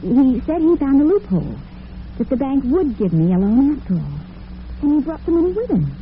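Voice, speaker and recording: A woman's voice: high-pitched, sing-song, a little fast. A woman playing a devoted, nervous and defensive young working daughter in an old-time radio drama. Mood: defensive, flustered. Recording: tinny, noisy vintage radio broadcast.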